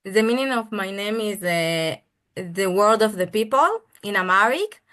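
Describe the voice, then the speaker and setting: A woman in her twenties introduces herself slowly and hesitantly. She pauses and loses her place, then picks the thread back up. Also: medium pitch, expressive, a bit bright. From Israel. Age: 20s. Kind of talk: self-introduction.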